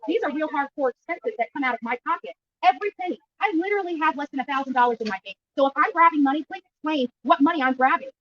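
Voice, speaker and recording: A high-voiced woman talks rapidly and insistently, like an activist answering the press. She is indignant and leans on repeated phrases to drive her point home. The audio is muffled and lo-fi. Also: a bit bright, distant mic, a little hiss or hum. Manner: emphatic, indignant, repetitive phrasing. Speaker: YouTube livestreamer and missing-person activist being interviewed. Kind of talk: impromptu press interview. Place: at a county jail, press interview.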